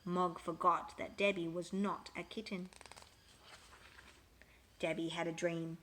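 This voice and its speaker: A woman reading a children's picture book aloud with expressive, emphatic storytelling. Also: lowish voice, takes it slowly, slight background noise.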